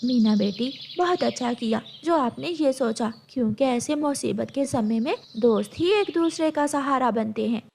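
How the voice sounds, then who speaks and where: A caring, reassuring mother bird from a children's cartoon, voiced by a woman. The voice is high, with lively swings in pitch. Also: normal speed, vocal fry, few pauses, slight background noise. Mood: warm.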